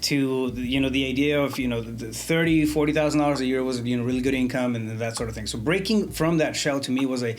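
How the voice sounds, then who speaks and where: A man hosting a casual podcast conversation, speaking in halting phrases full of "you know" and "um", often affirming the others. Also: medium pitch, a little hiss or hum. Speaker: podcast host.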